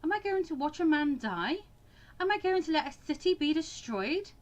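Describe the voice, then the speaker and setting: An introspective student girl from a visual novel, read by a woman. Her voice sits fairly high and swings widely in pitch. She is bookish, anxious and dryly sarcastic, expressive with her inner thoughts. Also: moderate pace. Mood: anguished. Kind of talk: dramatic reading of visual novel monologue.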